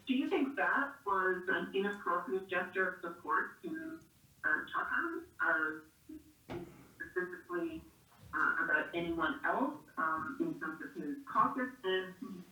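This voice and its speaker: A woman asking a press conference question as a journalist, in a hesitant, halting way. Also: fairly low pitch, lively intonation, sharp tone, soft background music. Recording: roomy, distant microphone.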